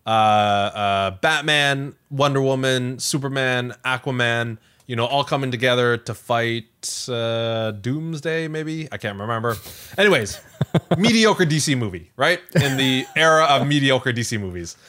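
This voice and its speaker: A man's voice: medium pitch, measured pace. A man co-hosting a podcast in casual chat about tech and entertainment, rambling and often correcting himself. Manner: animated, rambling, self-correcting. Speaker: podcast co-host.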